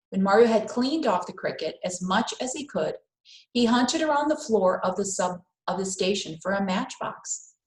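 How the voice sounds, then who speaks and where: Woman reading a storybook in an echoey room. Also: mid-range voice. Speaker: read-aloud host. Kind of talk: children's storybook read-aloud. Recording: roomy, echoing indoor sound.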